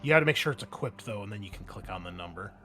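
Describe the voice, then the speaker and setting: A man running a tabletop roleplaying game as game master, explaining rules and scenes with thinking pauses. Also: on the low side, animated, crowd noise. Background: music.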